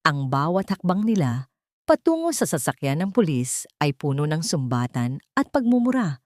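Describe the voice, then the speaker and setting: A woman's voice: low voice, big pitch swings, moderate pace, darkish tone, no room sound. A woman reading a scripted dramatic story as its narrator.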